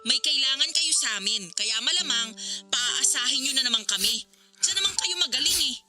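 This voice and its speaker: A bitter grown Filipino daughter in a radio drama. Her very sharp, highish voice drips with sarcasm and accusation, with soft music behind. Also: animated, fairly quick, exaggerated voice. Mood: bitter, accusing.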